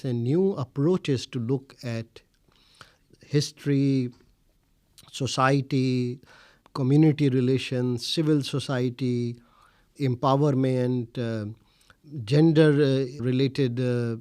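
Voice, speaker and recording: Measured Urdu-speaking Indian man, dry sound. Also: mid-range voice, warm tone, dry recording. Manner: measured, frequent "uh" pauses, formal phrasing. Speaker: interviewee, scholar. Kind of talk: reflective interview answers.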